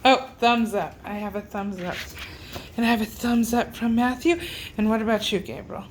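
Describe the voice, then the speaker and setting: A woman's voice: fairly high pitch, steady tone, moderate pace, slight background noise. A woman talks casually to children in an encouraging, sing-song way, prompting them one by one.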